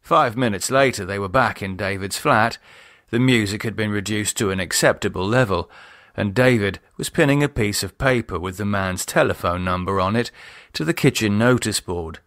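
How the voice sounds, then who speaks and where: Low-voiced British man narrating a novel. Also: normal speed, fairly bright tone, dry recording, clean studio sound. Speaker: audiobook narrator and author. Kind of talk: dramatic scripted narration of a novel.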